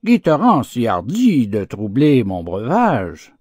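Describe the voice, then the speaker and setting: A cruel, hungry wolf from a storybook fable, acted out by a man. He speaks slowly and imperiously, accusing his prey with sweeping rises and falls. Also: medium pitch, wildly swooping pitch, darkish tone, dry recording, studio quality, heavily acted. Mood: enraged. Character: hungry, cruel male wolf bullying the weak.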